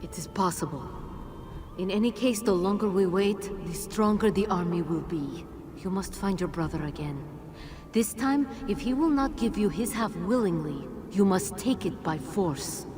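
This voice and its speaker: An actress playing a wise, commanding female jinn in a video game, speaking in a measured, formal, grave manner. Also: medium pitch, expressive, lightly acted. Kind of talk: video game cutscene acting.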